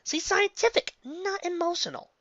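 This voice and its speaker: A woman casually commenting on a fan story she reads aloud. She rambles, restarts and corrects herself, and jumps up in pitch for emphasis. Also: normal speed, no room sound, dull audio. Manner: animated, jumping up in pitch for emphasis. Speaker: fan fiction reader and commentator.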